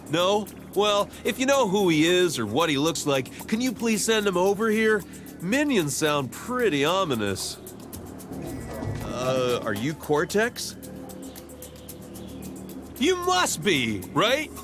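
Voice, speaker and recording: An actor playing a polite, slightly clueless male villager in a video game, hesitant and rambling, over music. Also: fairly high pitch. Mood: unsure, anxious. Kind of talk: video game character acting.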